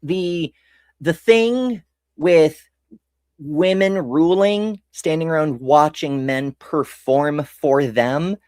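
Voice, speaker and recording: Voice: mid-range voice, slow pace, darkish tone, dry recording, clean studio sound. An American host giving casual history commentary, rambling and self-interrupting with casual swearing. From United States.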